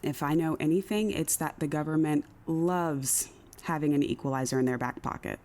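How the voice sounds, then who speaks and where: A woman plays a composed government insider in an audio drama. Her voice sits low for a woman, with a dry, cynical delivery that stays composed. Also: moderate pace, darkish tone, close to an everyday voice.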